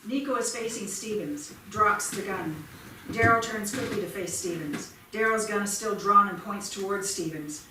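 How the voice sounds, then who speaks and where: Female stage directions reader, mid-pitched, recorded from afar in a very reverberant theatre. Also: normal speed. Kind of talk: scripted narration of stage directions. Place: small theatre, staged reading. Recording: noisy, very echoey theatre from far off.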